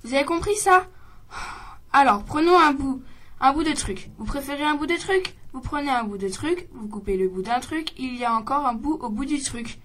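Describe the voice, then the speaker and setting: A pedantic stage artist, exasperated and lecturing the audience in a comic radio monologue, played by a woman. The voice is high. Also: lively intonation, moderate pace, fairly bright tone.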